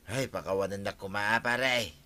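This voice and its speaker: An actor with an Ilocano Filipino accent playing a stubborn, defensive villager in a radio comedy drama, arguing in colloquial speech. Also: male voice, on the low side, moderate pace, sharp tone. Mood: pleading. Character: stubborn, brazen male villager, defensive and excuse-making.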